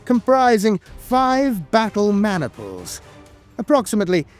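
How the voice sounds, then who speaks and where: A man reading dramatic scripted lore narration in a theatrical, deliberate way with weighty emphasis. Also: high voice, big pitch swings. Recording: music bed behind a dry voice. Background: music.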